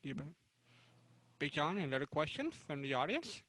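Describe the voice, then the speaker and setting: Bright-voiced man co-hosting a panel. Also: on the high side, very animated, moderate pace, no echo. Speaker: panel co-host. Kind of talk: casual interviewing.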